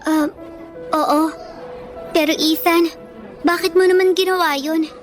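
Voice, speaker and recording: Radio drama underdog: meek bookish young woman, high voice, pleading and hesitant. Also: steady tone, unhurried, soft background music. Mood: hesitant, grateful. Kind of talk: Filipino radio drama acting.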